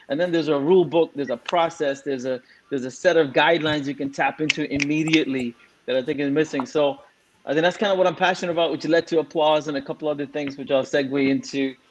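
A man's voice: fairly high pitch, a little hiss or hum, rough recording. A man in his forties, from Singapore, speaking as a guest in a frank, casual way with many fillers. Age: 40s. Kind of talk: casual interview answer.

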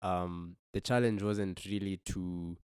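A young man from Kenya, a musician guest on a podcast, talking thoughtfully with frequent pauses and restarts. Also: sits low, steady tone, a little mellow, clear, resonant tone, dry recording, clean studio sound. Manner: thoughtful, hesitant with many restarts. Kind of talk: reflective podcast conversation.

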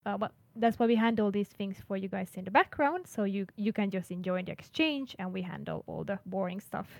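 A woman from Finland speaks at a mid-range pitch as an international services coordinator. She is earnest, restarts words often and laughs lightly now and then. Her voice is recorded dry and close. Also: lively intonation, fairly quick, gravelly, a little mellow, dry recording. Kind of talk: interview answers.

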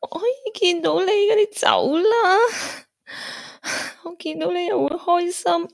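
A woman's voice: very high voice, unhurried, gravelly, no room sound, heavily acted. An actress with a Hong Kong Cantonese accent playing a fragile, grieving young woman in a radio drama. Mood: tearful, anguished. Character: fragile grieving young woman, guilt-ridden, pushing suitor away.

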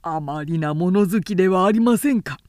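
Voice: medium pitch, normal speed, a little mellow, no room sound, clean studio sound, close to an everyday voice. Woman reading as an urgent, deferential army officer. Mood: alarmed, protesting. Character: anxious, deferential male army officers advising lord.

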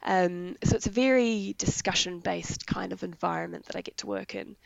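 Raspy-voiced woman from New Zealand, low and gravelly. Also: normal speed, dry recording, highs cut off. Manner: enthusiastic, explains jargon in plain terms. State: raspy voice from illness. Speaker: veterinary anaesthesia nurse. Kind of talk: casual interview answers.

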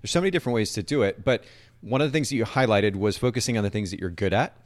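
A man's voice: lowish voice, rapid-fire. A man hosting a live-streamed podcast interview, enthusiastic and repeating words as he starts sentences. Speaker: podcast host.

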